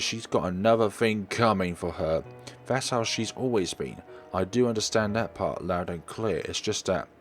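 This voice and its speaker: A man reading first-person story narration aloud for a let's play, expressively but haltingly, sometimes stumbling and correcting himself. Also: on the low side. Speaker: let's player reading a visual novel aloud. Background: background music.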